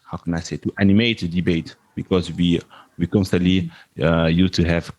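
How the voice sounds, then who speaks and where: A man's voice: low-pitched, little pitch movement, moderate pace, a little mellow. A man who speaks English as a second language, talking casually as a podcast guest. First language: not English. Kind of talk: casual interview talk.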